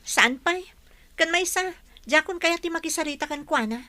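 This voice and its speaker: A woman's voice: very high-pitched, expressive, normal speed, sharp tone, no room sound, exaggerated voice. A woman playing a disenchanted young sweetheart in an audio drama, exasperated and complaining. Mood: fed up. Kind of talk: Ilocano audio drama acting.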